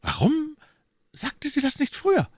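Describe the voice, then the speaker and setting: Bossy cardinal in an audiobook, way high voice, swoops around a lot, brisk and nosy. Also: male voice, huge pitch swings, a little mellow, no echo, clean studio sound, full character voice. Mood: eager, sharp. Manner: brisk, probing, questioning. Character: imperious, keen, commanding cardinal, adult man. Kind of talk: audiobook character dialogue.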